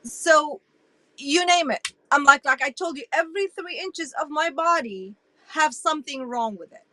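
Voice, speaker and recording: A woman in her sixties, originally from Iraq, telling her story as an interview guest in an animated, emphatic way. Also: sits high, normal speed, no echo, poor audio. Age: 60s. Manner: animated and emphatic, with frequent restarts.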